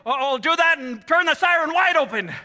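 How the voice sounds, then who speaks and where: A man's voice: expressive, fairly quick, sharp tone, exaggerated voice. A man playing an emotional family man in an old-time radio play, exclaiming and repeating himself. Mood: giddy joy. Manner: highly emotional, exclaiming, repetitive. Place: live stage in a hall. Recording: echoey hall, live stage taping.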